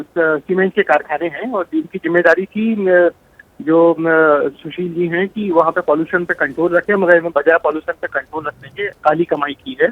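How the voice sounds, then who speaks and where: A man's voice: sits high, no room sound. Male reporter on a thin phone line. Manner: halting, hesitant delivery with repeated words. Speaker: news correspondent. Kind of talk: unscripted live news report.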